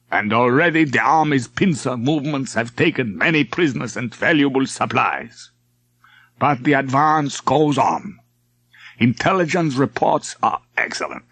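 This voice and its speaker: A man voicing a weary, imposing senior admiral in an audiobook, speaking in slow, thick, authoritative tones. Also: mid-range voice, fairly bright tone, breathy edge, no room sound, pitched above the performer's own voice, full character voice. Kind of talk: audiobook character acting.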